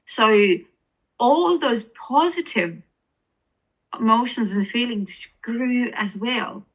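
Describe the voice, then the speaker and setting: A woman's voice: a little high, expressive, slow, slightly rough, rough recording. A woman with an Estonian accent, an author speaking as an interview guest, earnest and searching for words with many restarts. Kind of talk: reflective interview conversation.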